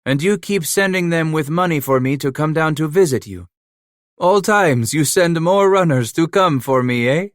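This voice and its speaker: A man's voice: on the high side, normal speed, dry recording, studio quality, pitched above the performer's own voice, natural voice. A man voicing a giant, scarred frontier killer who speaks broken English, mocking and menacing. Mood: taunting. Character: giant scarred French-Canadian frontier killer, cunning, playful.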